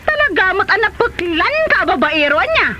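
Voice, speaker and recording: A woman's voice: extremely high pitch, sing-song, moderate pace. A woman with a Filipino accent playing a scornful, fed-up wife in a comedy radio drama. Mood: angry, accusing. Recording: dull, noisy audio.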